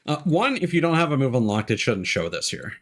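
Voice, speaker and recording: A man giving casual live gameplay commentary as a streamer, low-key and thinking aloud as he plays. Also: big pitch swings, brisk. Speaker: video game streamer. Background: game music.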